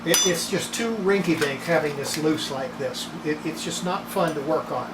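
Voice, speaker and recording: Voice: mid-range voice, moderate pace, reverberant. Older folksy workshop tinkerer, noisy room. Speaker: radio restorer presenting own repair. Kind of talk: casual hands-on workshop narration. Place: small cluttered indoor electronics workshop.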